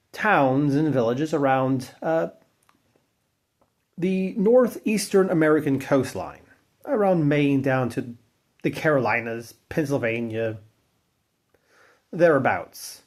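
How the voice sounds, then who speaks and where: A man tells an old folk tale in a relaxed, conversational way, as though recording a spoken log. His pitch swings up and down a lot as he talks. The tone is wry and offhand, with the odd swear word and casual fillers. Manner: loose delivery with fillers and restarts. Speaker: narrator and channel host. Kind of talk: casual spoken folklore narration.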